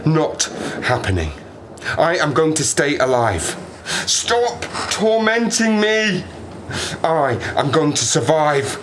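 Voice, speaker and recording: An actor playing a stranded Arctic survivor in an audio play, a sardonic, haunted man who talks haltingly and rambles in agitation. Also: fairly high pitch, big pitch swings. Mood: anguished, defiant. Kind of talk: dramatic audio play monologue. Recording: noisy ambience behind the voice.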